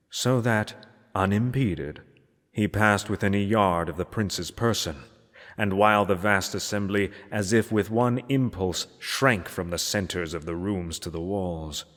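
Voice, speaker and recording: A man reading a gothic story aloud as narrator, in a solemn, measured and theatrical manner. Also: fairly low pitch. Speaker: narrator, reader. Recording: long hall-like reverb.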